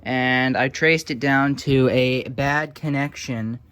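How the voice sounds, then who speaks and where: A man's voice: mid-range voice, fairly even intonation, slow, a bit bright, smooth. A man giving a casual, informal narration of hands-on repair work, thinking aloud a little hesitantly as he goes. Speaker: hobbyist restorer presenting a repair.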